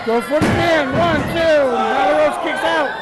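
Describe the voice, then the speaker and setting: A hoarse man calling pro wrestling action live, loud and folksy, losing his voice. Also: very high voice, measured pace, low-quality recording. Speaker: wrestling color commentator. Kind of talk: excited live play-by-play commentary. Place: live wrestling event in a restaurant lounge. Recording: loud crowd and music at a live event.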